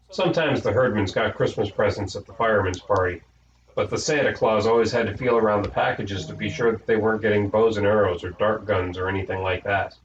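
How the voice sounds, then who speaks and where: A man reading a storybook aloud in the role of narrator. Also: on the low side. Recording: echoey room, distant mic, noisy, dull.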